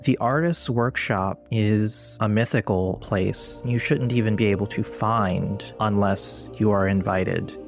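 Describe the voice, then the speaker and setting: Man, game master, slightly deep, very unhurried, measured storytelling, clear music bed underneath. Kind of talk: narrating a tabletop actual play.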